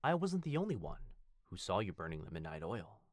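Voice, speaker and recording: A man playing a devoted head butler who speaks formally and fondly, with gentle teasing, in an ASMR roleplay. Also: deep voice, wildly swooping pitch, a little fast, no echo, clean studio sound. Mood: attentive, polite. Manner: formal, deferential, fond, softly teasing. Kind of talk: ASMR boyfriend roleplay, scripted acting.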